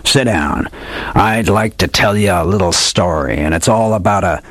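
A man's voice: low-pitched, normal speed, fairly bright tone, no echo. A man reading a story aloud, voicing an old male hardboiled detective with theatrical tough-guy slang. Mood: smug.

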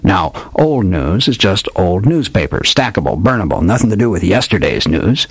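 Guy putting on a smug old gumshoe, sonorous, arch tough-guy talk, kinda husky. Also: sits low, sing-song, normal speed, no room sound, full character voice. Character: old male hardboiled detective, sonorous and smug. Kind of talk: reading a story aloud.

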